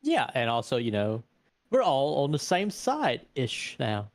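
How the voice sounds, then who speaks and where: A man's voice: mid-range voice, wildly swooping pitch, a little slow, dry recording. Male tabletop player, medium register, very varied intonation, conversational improvised reasoning. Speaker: tabletop role-play player. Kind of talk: improvised tabletop role-play chat.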